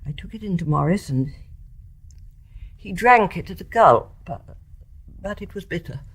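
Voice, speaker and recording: An actress playing a grave, resolute older British mother in a radio drama, speaking in a measured, refined and deliberate way. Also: on the low side, animated, slightly rough, full character voice. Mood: quietly resolute. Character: grave, resolute older English mother confessing her deed.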